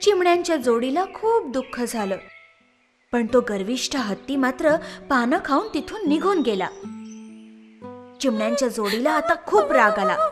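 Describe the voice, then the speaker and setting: A woman narrates a children's story in a high voice. Her pitch moves in an animated way, and soft music plays behind her. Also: normal speed.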